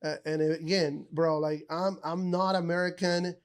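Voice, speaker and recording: A man from Ecuador, a podcast guest, telling stories in an animated, casual way, full of "bro" and "dude". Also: fairly high pitch, moderate pace, clear, resonant tone. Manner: animated storyteller, says bro and dude a lot.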